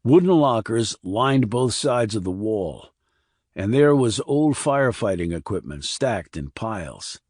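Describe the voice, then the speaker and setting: Low-voiced man narrating a thriller. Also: big pitch swings, a little slow, darkish tone, no room sound, clean studio sound. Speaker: audiobook narrator. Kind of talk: scripted crime thriller narration.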